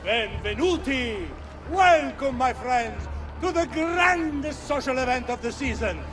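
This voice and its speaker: A pompous Venetian ruler in a video game cutscene, declaiming slowly. His high voice rises and falls with grandeur, and music plays behind him. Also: expressive. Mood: grandiose. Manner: pompous, declamatory speech-making. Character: pompous Venetian Doge, older ruler man.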